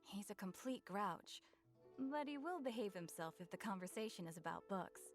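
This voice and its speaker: A woman's voice: medium pitch, animated, normal speed, faint music behind, natural voice. An actress playing a businesslike female bookshop keeper in a game, matter-of-fact and informative. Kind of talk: game voice acting.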